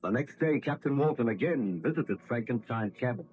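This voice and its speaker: A man narrating a 1930s radio drama recap in a formal, measured, scripted way. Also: mid-range voice, lively intonation, muffled recording, slight background noise.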